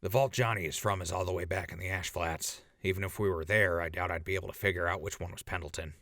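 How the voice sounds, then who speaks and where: A man's voice: deep voice, steady tone, quick pace, sharp tone, no room sound, clean studio sound. A man playing a guilt-ridden, sardonic wasteland survivor in an audio drama, speaking in a plain, casual way. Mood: remorseful. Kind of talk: post-apocalyptic audio drama acting.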